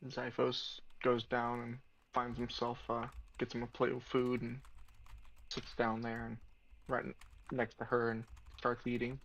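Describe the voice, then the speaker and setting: Guy in a tabletop rpg, totally flat delivery, casual, bit of mic noise and key clicks. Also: mid-range voice, unhurried. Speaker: player in a tabletop role-playing game. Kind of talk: casual describing of a character's actions. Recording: noisy mic with keyboard clicks.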